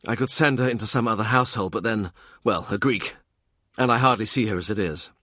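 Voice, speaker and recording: A man playing a self-made cardinal's man, a widowed father who speaks in a measured, gentle, shrewd way. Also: lowish voice, no echo, studio quality. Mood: reflective.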